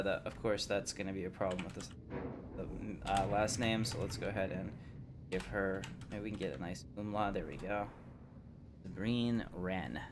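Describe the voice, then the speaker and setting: A man hosting a livestream, casually thinking aloud as he plays, in a halting, unhurried, musing way. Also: fairly low pitch, noisy background. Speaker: livestream host. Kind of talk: casual live game commentary, thinking aloud.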